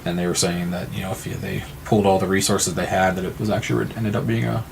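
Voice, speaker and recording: American man, low pitch, conversational interview guest, earnest, halting, faint background noise. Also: steady tone, gravelly. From Missouri, United States. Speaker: nonprofit representative and guest.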